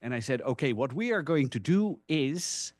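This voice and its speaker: A man who is a non-native English speaker, giving an informal academic presentation in an animated storytelling manner with rhetorical asides. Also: medium pitch, normal speed, no echo, clean studio sound. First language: not English (English is a third language).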